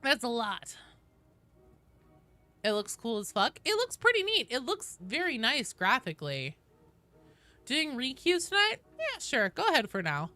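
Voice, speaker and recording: A woman's voice: on the high side, huge pitch swings, unhurried, long pauses, bright, forceful tone. A woman in her late thirties chatting casually on a game livestream and reading out viewer messages, informal and sweary. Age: late 30s. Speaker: game streamer.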